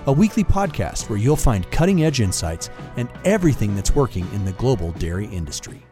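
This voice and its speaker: Mellow guy doing a salesy announcer read, lively pitch, music bed behind. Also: fairly quick. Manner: smooth, salesy. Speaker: show announcer. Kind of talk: scripted promo read.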